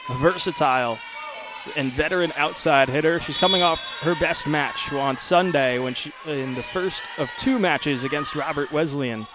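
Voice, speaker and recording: A man calling a college volleyball match as play-by-play announcer, giving lineups and background in a steady, informative way. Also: a little high, normal speed, background noise. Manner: steady informative delivery with occasional stumbles over names. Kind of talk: live sports commentary.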